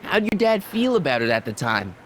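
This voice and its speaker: A man's voice: fairly high pitch, very animated, a little fast, fairly bright tone, a little hiss or hum. An actor playing an earnest, well-meaning American teenage boy in a video game, casual, hesitant and pleading. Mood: curious.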